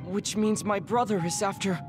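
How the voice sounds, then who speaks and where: A woman playing an analytical young soldier in an anime game. Her mid-pitched voice is controlled and matter-of-fact. Music and rain run behind it. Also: steady tone, normal speed, close to an everyday voice. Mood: grave.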